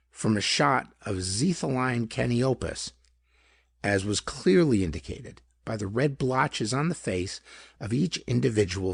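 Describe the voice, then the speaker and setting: A man's voice: medium pitch, creaky, slightly rough, no room sound, studio quality, voice pushed up, exaggerated voice. A man voicing a chubby, superior male detective in a pulp audiobook, with formal, pedantic, emphatic phrasing. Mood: sharp, accusing.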